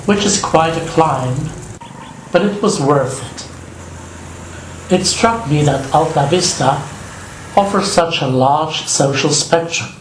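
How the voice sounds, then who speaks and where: An older man from Belgium, narrating his travel vlog in a slow, measured, reflective voice-over. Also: a little high, reverberant, slight background noise, rough recording. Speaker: vlogger.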